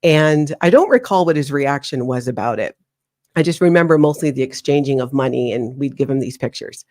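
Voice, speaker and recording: A woman in her forties from Hawaii, a guest telling her life story in an interview, animated and laughing often. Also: very deep voice, fairly quick. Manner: animated, laughs often, slips into acted quotes.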